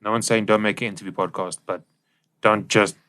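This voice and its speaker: Low-voiced man, casual co-host. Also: steady tone, a little fast, fairly bright tone. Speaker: podcast co-host. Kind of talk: casual conversational advice.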